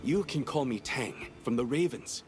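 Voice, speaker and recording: A man voicing a terse male hacker ally who guides over the radio in a clipped, often hushed way, with game music under him. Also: medium pitch, lively intonation, normal speed, lightly acted. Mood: calm. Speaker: game character. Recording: game audio with loud music.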